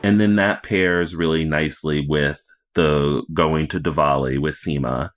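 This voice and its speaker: Low-voiced man, opinionated podcast co-host. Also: steady tone, measured pace, soft background music. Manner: opinionated, analytical, emphatic. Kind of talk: casual TV recap chat.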